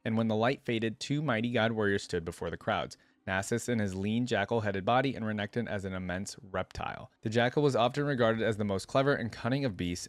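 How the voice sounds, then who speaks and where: A man with a fairly low voice hosts a reaction video. He moves between reading aloud and casual, slangy commentary with expressive emphasis. The sound is dry, with very little room in it. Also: no room sound.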